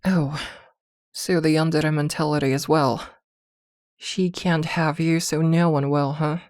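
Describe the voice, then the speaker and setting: A woman playing a possessive, protective witch girlfriend in an intimate ASMR roleplay, doting yet jealous, with hesitant pauses. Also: sits low, steady tone, a little mellow, no room sound, clean studio sound. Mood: dismayed, wry.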